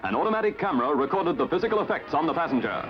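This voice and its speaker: High-pitched, lively 1950s Australian newsreel man. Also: rapid-fire, lo-fi sound, over loud music. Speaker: newsreel narrator. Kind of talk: 1950s newsreel commentary, scripted narration. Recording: band-limited vintage 1950s newsreel soundtrack.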